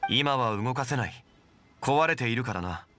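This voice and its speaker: An actor playing a calm, erudite gentleman in a video game, speaking formally and with measured composure. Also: mid-range voice, faint music behind. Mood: composed. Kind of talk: Japanese game voice acting.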